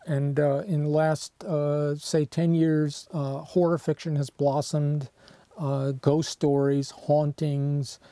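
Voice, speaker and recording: A man in his sixties who owns a bookshop, speaking in a documentary interview on a lapel mic and pausing often with fillers. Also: fairly high pitch, dark tone, no echo. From United States. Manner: hesitant, many uh fillers. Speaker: bookshop owner. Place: wooden barn bookshop, indoors.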